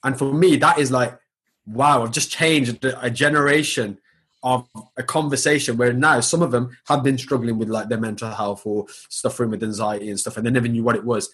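A man speaks quickly as a podcast guest, at a mid pitch. He sounds reflective and sincere and keeps things conversational. He often uses "like" and "um" as fillers. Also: fairly even intonation, a bit bright, husky. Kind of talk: casual interview chat.

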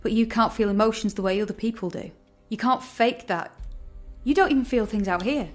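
A woman's voice: fairly high pitch, a little fast, lightly acted. A woman playing a betrayed young woman, accusing, emotional and defiant, in an audio drama. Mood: bitter, probing. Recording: music underneath.

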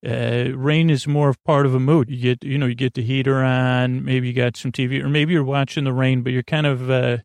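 A man with a creaky voice hosting a podcast, rambling in a halting, gently goofy bedtime monologue meant to help people drift off to sleep. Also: medium pitch, little pitch movement, no echo. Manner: meandering, halting, often trailing off and repeating words. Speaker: podcast host.

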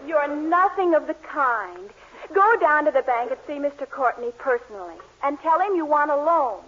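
An actress playing an eager, pushy young sweetheart, earnest and coaxing, in a 1940s radio sitcom. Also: female voice, high voice, expressive, moderate pace, fairly bright tone. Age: young adult. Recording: muffled old 1940s radio recording.

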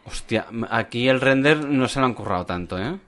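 A man's voice: mid-range voice, expressive, a little fast, a bit bright. A man around forty from Spain, co-hosting a casual podcast chat, joking and swearing with frequent stumbling restarts. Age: about 40. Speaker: podcast co-host.

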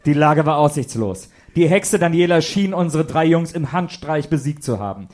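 A man's voice: a little high, expressive, normal speed, darkish tone. A man giving dramatic scripted narration for an audio play.

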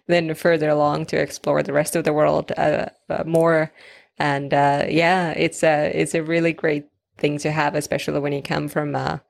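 A woman from Finland hosts an interview conversation and relates her own life to her guest's. Her voice is very low with a gravelly, fry-laden texture. She asks long, winding questions in a relaxed way. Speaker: interviewer host.

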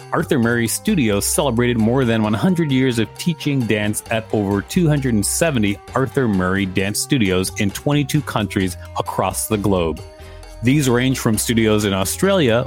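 A man co-hosting a podcast, reading encyclopedia text aloud and adding casual remarks. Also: lowish voice. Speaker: podcast co-host.

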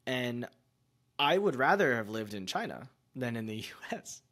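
A man hosting a podcast, musing in a relaxed, conversational way and often using fillers. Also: medium pitch, moderate pace. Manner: reflective, musing, with loose fillers like "like" and "you know". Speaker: podcast host. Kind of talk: casual conversational chat.

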